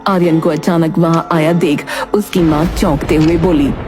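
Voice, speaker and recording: A woman's voice: low voice, steady tone, normal speed. A woman giving scripted narration for a dramatic horror story. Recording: loud music behind the voice.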